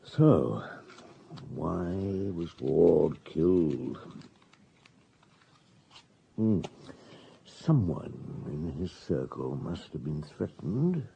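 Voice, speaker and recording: An actor playing a shrewd, middle-aged police superintendent in a radio drama, gruff and authoritative, given to musing and terse questions. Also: male voice, very deep voice, sing-song, extremely slow, long pauses, mellow, a little hiss or hum, heavily acted, poor audio. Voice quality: gruff, husky. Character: shrewd, unhurried police superintendent on a murder case.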